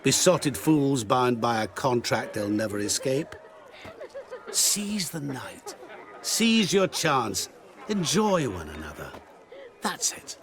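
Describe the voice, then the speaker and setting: A man's voice: mid-range voice, very animated, slow pace, a crowd in the background. A man playing a sly, eloquent, worldly schemer in a video game, theatrical and smug. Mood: coaxing. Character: smooth, sly male enchanter giving worldly romantic advice. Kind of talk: video game dramatic acting.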